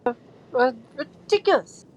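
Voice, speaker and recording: A woman's voice: high-pitched, expressive, unhurried, highs cut off, slight background noise. A woman playing a timid young schoolboy wizard in an amateur audio drama, hesitant and stammering. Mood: frightened.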